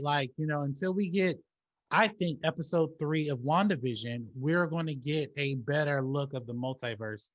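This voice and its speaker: A man's voice: fairly high pitch, fairly bright tone. A man hosting a casual movie talk show, slangy and emphatic, often stammering and repeating his words as he gives opinions.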